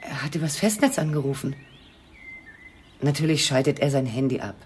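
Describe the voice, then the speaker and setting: A woman's voice: extremely low pitch, normal speed, darkish tone, slight background noise. An actress playing an anxious, evasive middle-aged mother in a radio crime drama, with a natural, nervy, placating manner. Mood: evasive, tense. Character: anxious, evasive small-town mother and cat owner.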